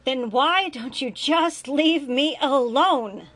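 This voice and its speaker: A bitter, worn-out young man, as a woman reading the story puts him on, sardonic and quick to flare into anger. His voice rises in pitch and volume when he is hurt, and every line is sharp and accusing. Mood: furious.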